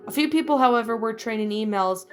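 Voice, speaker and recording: A woman's voice: a little high, animated, fast, faint music behind. A woman narrating a scripted horror story, reading aloud and now and then stumbling over the words.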